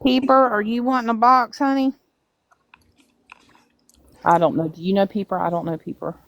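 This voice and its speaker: A woman's voice: fairly high pitch, normal speed, no echo. A middle-aged woman hosting a casual livestream, chatting and talking to her viewers as she goes. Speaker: livestream host.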